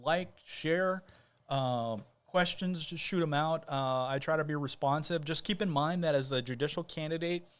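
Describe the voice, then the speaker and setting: A man's voice: fairly high pitch, moderate pace, clean tone. A man talking casually as a guest on a podcast, easygoing and rambling, with plenty of fillers and restarts. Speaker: guest, judicial candidate.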